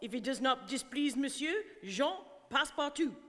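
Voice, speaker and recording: A man's voice: very high-pitched, lively intonation, moderate pace, fairly bright tone, faint music behind. A man playing a polite, eager-to-please manservant in a radio drama. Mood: deferential, earnest. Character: deferential Frenchman seeking a manservant's post, former jack-of-all-trades. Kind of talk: stage radio drama acting. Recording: reverberant hall.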